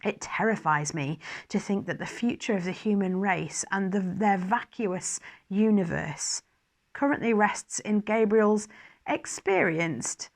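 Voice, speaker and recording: A woman's voice: mid-range voice, animated, a little slow. A fretful archangel bureaucrat , voiced by a woman, formal and wry, confiding in a diary. The manner is fussy and faintly superior, in a dramatised storytelling style. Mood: anxious, careful. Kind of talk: first-person dramatised diary reading.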